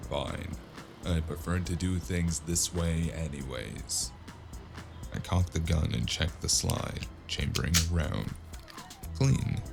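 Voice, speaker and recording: Deep, gravelly man narrating noir action over music. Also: slow. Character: weary, wry male cyberpunk gunman telling his own story. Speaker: narrator and lead character of an audio drama. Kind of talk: first-person noir scripted narration, acted. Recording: music under the voice.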